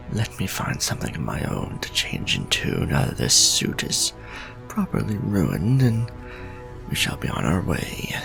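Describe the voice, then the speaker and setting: Slow, creaky-voiced vampire lord played by a man. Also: mid-range voice, heavily acted. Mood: weary tenderness. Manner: formal, archaic, grandiose, dramatic deliberate phrasing. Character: proud ancient vampire lord, courtly, menacing, devoted lover. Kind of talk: gothic romantic ASMR roleplay monologue.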